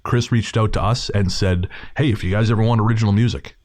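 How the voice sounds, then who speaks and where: A man's voice: fairly low pitch, fairly even intonation, fast talker, a little mellow, a little hiss or hum. A man hosting a podcast, casually introducing an episode and speaking to listeners in an informal, slangy way. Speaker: podcast host.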